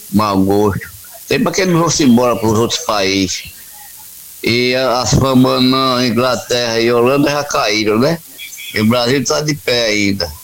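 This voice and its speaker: A man's voice: fairly low pitch, poor audio. A man calling into a radio show who talks like a grumbling sports fan, then sends greetings to his friends. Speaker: caller.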